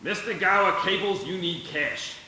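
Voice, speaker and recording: Sharp high-ish guy announcing good news, actor, brisk and cheery, radio play, very echoey. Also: slight background noise. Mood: proclaiming. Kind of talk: live radio play acting. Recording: very echoey stage.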